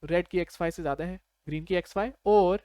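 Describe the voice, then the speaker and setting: Pakistani guy tutor, kinda high voice, explains step by step, chatty, dry close sound. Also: fast, dark tone, clear, resonant tone, no room sound. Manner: conversational, checks understanding with short tag questions. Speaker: programming tutor.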